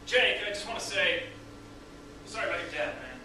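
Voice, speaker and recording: A man's voice: high voice, animated, moderate pace. A man playing a crass, joking accountant buddy in a staged reading, with loose, profane phrasing, recorded from afar in an echoey room. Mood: casual, awkward. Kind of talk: staged screenplay reading, casual dialogue. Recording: distant, very echoey room with noise.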